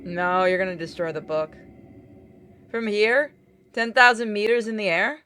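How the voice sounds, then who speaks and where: A woman's voice: mid-range voice, normal speed, fairly bright tone, smooth. A woman giving a dramatic reading of visual novel game dialogue.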